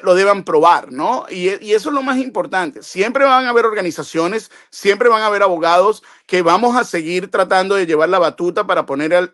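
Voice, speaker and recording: A passionate man, speaking as a legal guest on a news program. His voice sits high for a man, and he presses his points hard, sometimes spiking sharply when he demands proof. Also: fairly bright tone. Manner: passionate, emphatic, rising to outbursts. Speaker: immigration lawyer guest. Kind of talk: impassioned interview answers.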